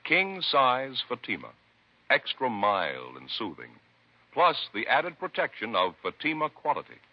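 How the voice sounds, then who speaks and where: A man's voice: mid-range voice, huge pitch swings, a little slow. A man reading a 1950s radio advertisement as an emphatic, salesmanlike announcer. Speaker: commercial announcer. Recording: dull old radio recording.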